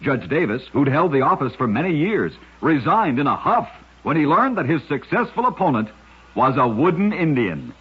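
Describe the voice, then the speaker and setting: A husky man narrates scripted oddities as an old-time radio host. His voice moves with lively pitch in a measured, dramatic announcer's style. The sound is muffled and dated, like an aged broadcast recording.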